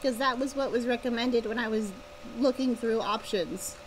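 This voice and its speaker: Female livestreamer, high voice, casual and wryly exasperated, faint fan buzz on a laptop mic. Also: moderate pace, slight background noise, poor audio. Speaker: live streamer, author. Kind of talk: casual chat with livestream viewers. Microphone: laptop's built-in or connected microphone.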